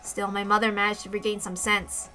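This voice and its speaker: A woman's voice: medium pitch, monotone, a little fast, sharp tone, soft background music, poor audio. A woman reading a first-person story aloud as narration. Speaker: reader of a visual novel story.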